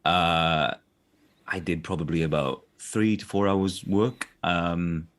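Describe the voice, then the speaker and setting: A man's voice: low-pitched, fairly even intonation, slow pace, fairly bright tone. A man with a South Welsh accent chatting casually as an interviewed voice actor, rambling and often correcting himself as he goes. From South Wales. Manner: rambling, self-correcting, checks listener follows.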